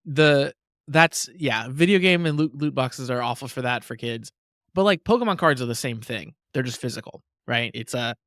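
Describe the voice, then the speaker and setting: Male podcast co-host, mid pitch, self-deprecating and giggly, stammers, dry close mic. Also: lively intonation, moderate pace, fairly bright tone, dry recording. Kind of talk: casual chat.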